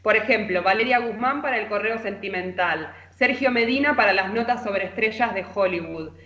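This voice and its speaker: A woman's voice: mid-range voice, moderate pace, pushed and bright. Argentine woman in echoey, slightly dull audio. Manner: chatty, informal. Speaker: literary columnist. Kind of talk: conversational radio column telling anecdotes.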